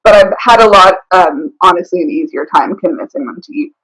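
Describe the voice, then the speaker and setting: A woman being interviewed as a business owner, chatting casually and pausing often to think. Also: on the low side, a bit bright, slightly rough, poor audio. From born in Thailand, raised travelling the world. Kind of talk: casual chat.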